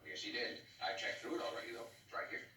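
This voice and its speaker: Low, forceful, weary middle-aged father. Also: animated, quick pace. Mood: weary, resigned. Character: worn-down, worried middle-aged father of addict son. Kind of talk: 1960s television crime drama acting. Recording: roomy, distant, slightly noisy television soundtrack.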